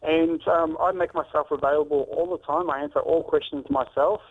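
Gravelly-voiced man on a tinny phone line. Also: a little high, steady tone, fairly quick. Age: 30s. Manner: earnest, many ums and restarts. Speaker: fitness author and trainer. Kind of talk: phone-in interview.

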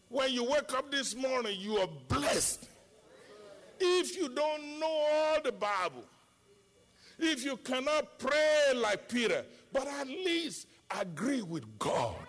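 A man's voice: very high voice, fairly bright tone. A middle-aged man with a Nigerian accent, a native Yoruba speaker, preaching an impassioned sermon as a pastor with emphatic repetition and rhetorical questions.

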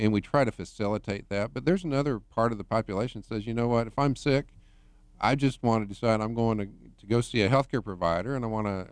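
A middle-aged man with a very deep voice talks through a radio interview as a healthcare administrator. He speaks in a measured, halting way, restarting his sentences often. The recording is smooth and dry, with no room sound. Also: no room sound. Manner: measured, hesitant with many restarts.

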